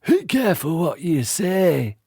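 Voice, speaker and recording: A man plays a sly henchman in a storybook, drawling with quiet menace. His pitch moves widely, and the sound is dry and close to the mic. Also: big pitch swings, unhurried, husky, no echo, full character voice. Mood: menacing.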